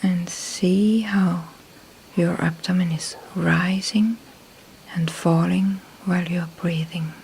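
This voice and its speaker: A woman leading a guided meditation in a soft, breathy near-whisper, calm and unhurried, with long pauses between short phrases. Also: on the low side, a little hiss or hum, rough recording. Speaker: meditation teacher. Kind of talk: slow guided meditation instruction.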